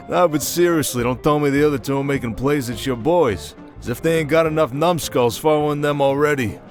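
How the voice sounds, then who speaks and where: A man's voice: fairly high pitch, moderate pace, faint music behind, lightly acted. A man playing a jovial, sardonic mob boss in an ASMR audio drama, talking in casual slang with joking swagger. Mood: teasing, probing. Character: brash streetwise male mafia boss, jovial, sardonic.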